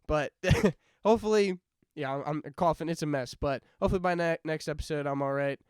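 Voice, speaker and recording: A young man chats casually as a podcast co-host, his voice a touch higher than most and smooth despite a stuffy, congested nose. He rambles and laughs easily. The sound is close and dry. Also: fairly quick, no room sound. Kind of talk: casual chat.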